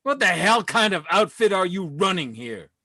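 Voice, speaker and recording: A man plays a commanding Marine colonel on the witness stand in a stage drama. His voice is high for a man and forceful, swinging widely in pitch. He sounds haughty and full of contempt, an orator sure of his own rank. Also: very animated, normal speed, dry recording, studio quality, heavily acted. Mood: indignant. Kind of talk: dramatic script reading.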